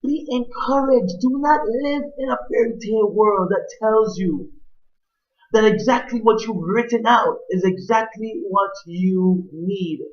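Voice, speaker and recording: A man's voice: high voice, a little slow, lo-fi sound. A man preaching a sermon in an emphatic, oratorical way, with ornate wording and rhetorical repetition.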